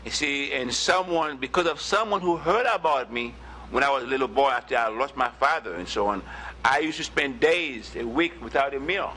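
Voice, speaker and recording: A man's voice: medium pitch, moderate pace, sharp tone, slight background noise, low-quality recording. A man from Haiti with a Haitian accent, speaking earnestly from the audience at a public forum and checking in with "okay?". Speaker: audience member asking a question.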